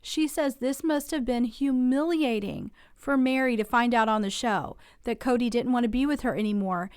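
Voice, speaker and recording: A woman's voice: fairly high pitch, moderate pace, darkish tone, smooth, dry recording, clean studio sound. Chatty woman recap host, getting over a cold. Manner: chatty, opinionated, asks viewers to weigh in. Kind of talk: casual commentary recap.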